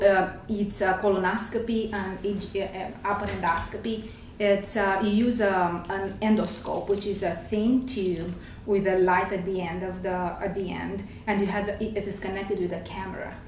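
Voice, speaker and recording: A woman's voice: mid-range voice, fairly even intonation, normal speed. A middle-aged woman from Romania with a Romanian accent, a gastroenterologist guest on a talk show, explaining carefully with hesitant fillers. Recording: echoey room, distant mic, faint noise.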